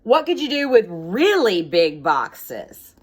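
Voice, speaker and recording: A woman's voice: medium pitch, sing-song, slow pace, husky. Woman teacher reading aloud to children. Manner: instructive, simply worded, addressing children directly. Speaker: schoolteacher. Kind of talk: read-aloud with explanations for young children.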